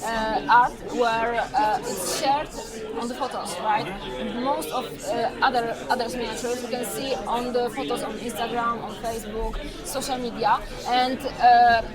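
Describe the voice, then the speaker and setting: A woman's voice: on the high side, steady tone, a little slow. A woman with a Polish accent, a judge, speaking thoughtfully and searching for words in a casual interview. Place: large convention hall. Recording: loud crowd behind.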